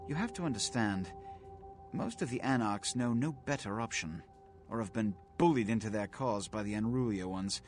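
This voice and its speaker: A man plays a centuries-old male vampire prince in a video game, with a mid-pitched voice. He is haughty and aristocratic, delivering eloquent lines with clipped pomposity over music in the background. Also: natural voice. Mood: haughty, dismissive. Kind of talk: video game character dialogue.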